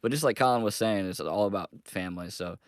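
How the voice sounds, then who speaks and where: A young man co-hosting a casual podcast, relaxed and rambling, a little nasal from a cold. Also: lowish voice, fast talker, dry recording, studio quality. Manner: relaxed, rambling with many fillers. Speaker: co-host. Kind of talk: casual chat.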